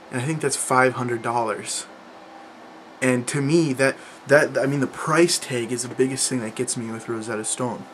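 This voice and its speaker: A man's voice: medium pitch, fairly bright tone, a little hiss or hum, low-quality recording. A young man chatting casually to camera about learning languages, rambling, with lots of fillers and restarts. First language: English.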